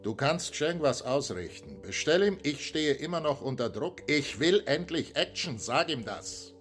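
An actor playing a cool, bossy and impatient shady bar owner in a radio drama. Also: male voice, a little high, big pitch swings, measured pace, fairly bright tone, soft background music. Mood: cool, pressing.

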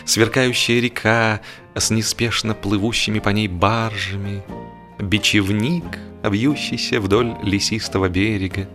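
A male audiobook narrator with a fairly low voice tells a humorous novel. His delivery is expressive and literary, and some music plays behind him. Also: measured pace.

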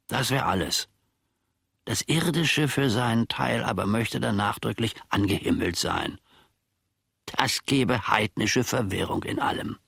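An older man acting a grumbling, garrulous old manservant in a radio play monologue, musing in a pedantic, wry mutter with a husky, gravelly voice. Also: on the low side, a bit bright, no echo, studio quality, heavily acted. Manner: ruminative, pedantic, wry muttering with deliberate pauses.

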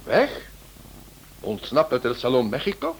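A man playing a lively, sceptical detective in a radio play, exclaiming and questioning in an animated way. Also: mid-range voice, measured pace. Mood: questioning, pressing. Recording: noisy old radio play recording.

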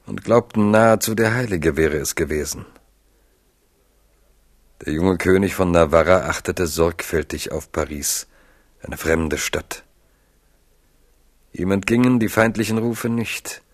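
A man narrating a literary radio drama in a measured, expressive storytelling manner. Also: low voice, no echo, clean studio sound.

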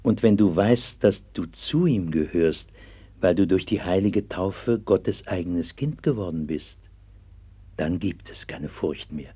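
A devout old-time pastor in a radio drama. His low voice is dark and husky, and he speaks with patient, solemn earnestness, as if comforting a child. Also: slow pace, exaggerated voice. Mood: gentle, consoling. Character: gentle, devout 16th-century pastor comforting a sick child. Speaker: actor in a radio play. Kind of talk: 1960s German radio play acting.

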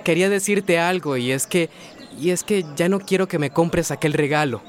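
Un actor que interpreta en una radionovela a un hijo adolescente, entusiasta, que ruega con titubeos. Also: male voice, fairly high pitch, normal speed, natural voice. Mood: pleading. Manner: hesitant, pleading, repeats himself. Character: eager teenage son about to finish school. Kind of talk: radio drama acting.

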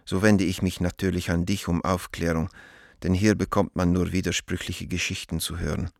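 An actor playing a worried, loyal gentleman who reads his letter to an old friend in a measured, formal way for a radio drama. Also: low voice, little pitch movement, gravelly, no echo, studio quality. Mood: worried, restrained.

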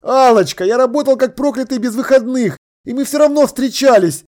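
A man acting a loud, high-pitched pleading young boyfriend. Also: normal speed. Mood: pleading, defensive. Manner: loud, emphatic, imploring. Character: distraught, pleading young man arguing with his girlfriend.

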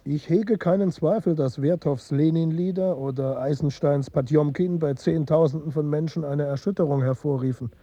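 Aged scholar in radio play: very dark, husky, formal, dryly recorded. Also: male voice, fairly high pitch, normal speed, hardly any pauses, no room sound, highs cut off. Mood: stiff, reserved. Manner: professorial, formal. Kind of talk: German radio drama acting.

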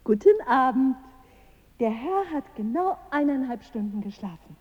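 An actress playing a polite, curious hotel chambermaid with a breathy voice in a radio drama. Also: female voice, sits high, very animated, unhurried, exaggerated voice. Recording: reverberant, dull sound.

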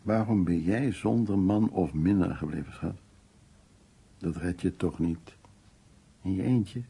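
An actor playing an older, frank and dominant man who reminisces intimately in short phrases, in a radio play with a husky, gravelly voice. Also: deep voice, measured pace, dark tone, heavily acted. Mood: frank, probing.

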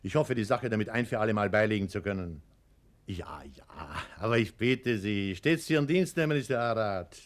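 A man's voice: fairly low pitch, animated, a little fast, close to an everyday voice. A man playing an officious department chief in a radio play, formal and unctuous, servile to superiors and patronizing to those below. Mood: indignant, servile. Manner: formal, unctuous, self-righteous. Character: officious male bureaucrat chief, servile upward, patronizing downward.

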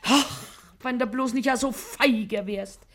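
A woman's voice: on the high side, takes it slowly, a bit bright, heavily acted. An actress playing a scheming, bossy working-class wife and mother in a radio play, scolding and wheedling in German regional dialect.

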